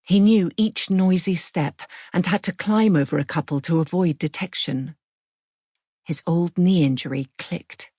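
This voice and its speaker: Slow, husky British woman narrating fiction. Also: fairly low pitch, dark tone, dry recording, studio quality. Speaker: audiobook narrator. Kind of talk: scripted suspense fiction narration.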